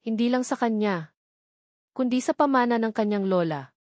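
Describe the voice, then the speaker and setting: A woman's voice: a little high, lively intonation, normal speed, darkish tone, no echo, clean studio sound. A woman giving scripted, dramatic narration of a romantic story as its narrator.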